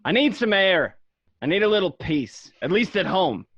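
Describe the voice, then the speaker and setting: A man's voice: high voice, very animated, normal speed, fairly bright tone, no room sound, highs cut off, full character voice. A man playing an agitated gangster in a radio drama, with a pleading, exasperated manner. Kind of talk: amateur radio drama acting.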